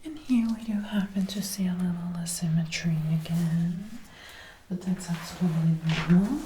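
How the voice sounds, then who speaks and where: A woman doing soft-spoken ASMR roleplay, murmuring and whispering up close into a binaural microphone. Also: lowish voice, moderate pace, a little hiss or hum. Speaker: ASMR creator acting out a personal attention measuring roleplay. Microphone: close binaural microphone, ear to ear.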